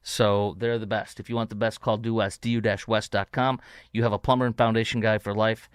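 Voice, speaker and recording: A man hosting a talk show from his home, chatting casually and rambling with many fillers, getting excited. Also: on the low side, a little fast, clear, resonant tone, no echo, clean studio sound. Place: home studio.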